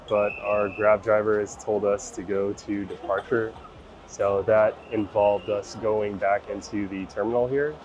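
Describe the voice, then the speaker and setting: A man talking casually as a travel vlogger, relaxed and chatty with frequent fillers. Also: lowish voice, muffled recording, noisy background. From United States. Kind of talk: casual travel vlog narration.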